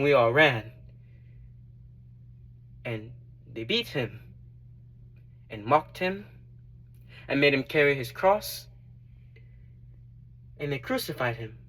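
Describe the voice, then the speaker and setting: A man performing a dramatic monologue as a repentant tax collector turned devoted disciple, earnest and reflective, with deliberate emphatic swells. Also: on the high side, a bit bright, voice pushed up, exaggerated voice, rough recording. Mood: grieving. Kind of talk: dramatic monologue, first-person testimony.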